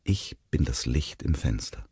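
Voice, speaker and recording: A man's voice: very deep voice, lively intonation, unhurried, vocal fry, dark tone, husky, dry recording, studio quality, heavily acted. A man voicing a loving, sorrowful father who speaks tenderly to his young son, murmuring with emotion. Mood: tender, reassuring.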